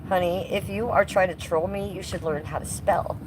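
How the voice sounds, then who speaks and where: A woman's voice: lowish voice, fairly even intonation, moderate pace. A woman in her fifties chatting casually on a livestream, teasing and sassy as she talks back to her viewers. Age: 50s. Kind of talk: casual livestream chat, reading and answering viewer comments. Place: outdoors in a yard by a fire. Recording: noisy live stream with ambient noise.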